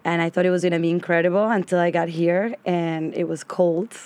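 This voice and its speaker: A woman in her thirties from Argentina, a native Spanish speaker and restaurant owner, telling her story as a studio interview guest, animated and laughing between phrases. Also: on the low side, a little fast.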